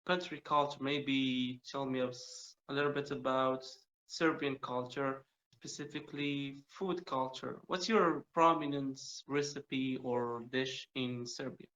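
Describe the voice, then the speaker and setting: Halting Moroccan man on a muffled call. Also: medium pitch, steady tone, fairly bright tone. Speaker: English learner asking interview questions. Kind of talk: casual interview chat. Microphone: online video call. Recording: muffled, lo-fi online call audio.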